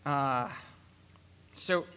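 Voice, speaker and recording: A man speaking unscripted as a panelist, with a hesitant, halting delivery. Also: medium pitch, clear, resonant tone. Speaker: panelist, project leader. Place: convention panel room with audience. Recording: reverberant hall, bright sound.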